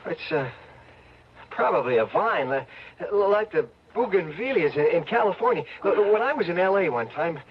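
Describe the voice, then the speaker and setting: An actor playing an anxious, grumbling man lost in the woods, excitable and hesitant, in an old time radio drama. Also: high voice, normal speed, slightly rough, exaggerated voice. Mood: weary, uneasy. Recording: muffled old radio broadcast, some noise.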